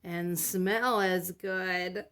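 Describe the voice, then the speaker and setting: A woman voicing excited, hungry young children in a picture story, with drawn-out, eager exclaiming. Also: on the low side. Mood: admiring.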